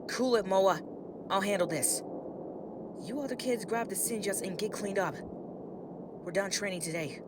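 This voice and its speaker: A man's voice: sits high, a little slow, pauses often, heavy background noise. A man voicing a firm but caring young female mentor in an earnest, instructive comic dub. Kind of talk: comic dub dramatic dialogue. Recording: loud music behind.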